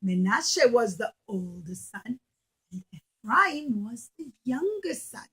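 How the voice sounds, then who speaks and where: A woman teaching as an animated storyteller for children, speaking simply and emphatically and putting questions to her listeners. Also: a little high, slow, husky.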